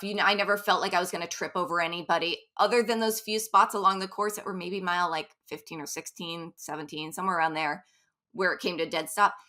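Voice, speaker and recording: A woman's voice: medium pitch, sharp tone. A woman running coach talking casually in an interview, chatty and upbeat. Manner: chatty, upbeat, quick asides with laughs.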